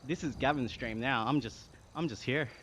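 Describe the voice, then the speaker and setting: Casual male streamer, Australian accent, mid-range voice, chatty and slangy, talking to chat over clear background noise. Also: mid-range voice, moderate pace, fairly bright tone. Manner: chatty, slangy, reading chat aloud, thanking viewers. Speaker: live streamer.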